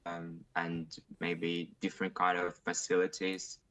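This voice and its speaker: Low flat young Russian man, hesitant. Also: unhurried, low-quality recording. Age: young adult. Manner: hesitant, many uh fillers, syllables separated. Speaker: test candidate. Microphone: video call.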